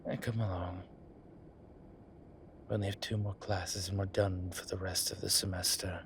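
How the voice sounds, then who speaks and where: A man voicing a flirtatious demon professor who keeps up a genteel, professional front, speaking softly and often in a whisper. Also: deep voice, flat delivery, background noise. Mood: hushed. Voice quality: soft, often whispered, unhurried. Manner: teasing, measured, genteel. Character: flirtatious male demon professor, composed, teasing. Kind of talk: audio roleplay.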